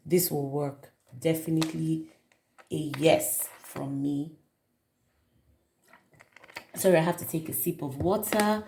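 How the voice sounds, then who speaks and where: A woman hosting her perfume channel, chatting warmly to viewers in a casual review, her voice raspy from a cold. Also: low-pitched, steady tone, slow, pauses often, a little hiss or hum. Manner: friendly, chatty, direct to viewers.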